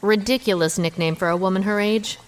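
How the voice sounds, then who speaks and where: A woman's voice: mid-range voice, a little fast, lightly acted. A woman playing a terse, guarded, no-nonsense kitchen worker in an audio drama. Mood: dry, evasive. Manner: dry, terse. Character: guarded, dry, no-nonsense woman working as hired kitchen help.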